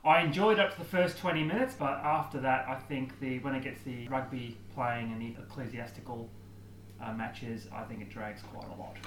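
A man chats casually on a podcast, easygoing and quick to agree. He sounds like he is in a roomy, echoing space. A faint hiss runs under his voice. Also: mid-range voice. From Wales. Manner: relaxed, agreeable, quick to chime in. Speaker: podcast co-host. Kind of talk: casual chat. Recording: echoey room, faint background noise.

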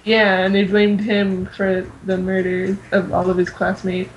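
A woman's voice: medium pitch, monotone, unhurried, muffled recording. A young woman with a mid-range voice chats on a fan podcast. She rambles informally, and the homemade recording carries a faint noise. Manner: informal, rambling, says "like" often. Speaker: podcast co-host. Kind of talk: casual fan podcast chat.

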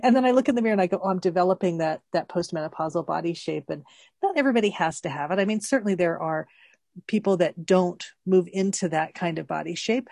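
A woman in her sixties talks through her thoughts in a relaxed, unhurried chat. Her voice sits fairly low and the sound is dry and close, with no room behind her. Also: gravelly, no echo. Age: 60s. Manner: thoughtful, explains at length, laughs easily. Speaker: co-host. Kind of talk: casual chat.

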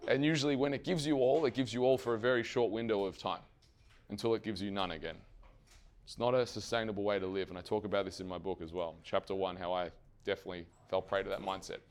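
A man losing his voice gives a lively fitness seminar to trainers and keeps asking the room questions. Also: lowish voice, fast. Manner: energetic, asks the audience questions and answers them himself; swears casually. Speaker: fitness coach presenting to trainers. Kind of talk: live seminar presentation.

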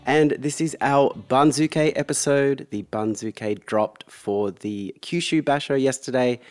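A man's voice: medium pitch, unhurried, darkish tone. A man hosting a podcast at home, chatting casually and wryly with a stuffy, blocked-up nose from a cold. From Australia. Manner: chatty, wry and enthusiastic. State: congested from a cold. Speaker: podcast host. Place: lounge room at home.

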